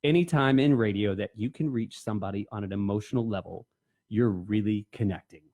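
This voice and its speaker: A man's voice: fairly low pitch, a little fast, a little mellow, dry recording, studio quality. A man hosting a radio show, chatting informally and joking with his guest. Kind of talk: casual chatty radio interview.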